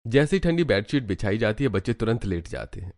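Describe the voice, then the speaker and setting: Low-voiced, animated male storyteller. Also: fairly quick. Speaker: narrator. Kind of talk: scripted cartoon story narration in Hindi.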